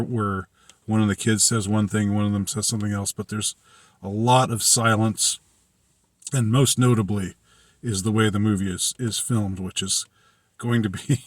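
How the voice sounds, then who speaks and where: A man giving a casual, unscripted movie review in a halting, hesitant way, often trailing off and circling back. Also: lowish voice, dry recording. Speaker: film reviewer.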